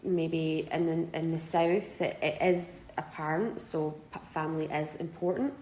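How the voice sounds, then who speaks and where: A woman's voice: low-pitched, steady tone, a little slow, a little mellow, reverberant, a little hiss or hum, rough recording. A Scottish woman in her twenties answering interview questions casually, often hesitating with fillers and restarts. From Scotland. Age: 20s.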